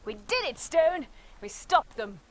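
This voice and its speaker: An actress playing a spirited, earnest fighter in a sci-fi war, speaking emotively in game dialogue. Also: female voice, sits high, very animated, measured pace. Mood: elated. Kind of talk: dramatic game cutscene dialogue.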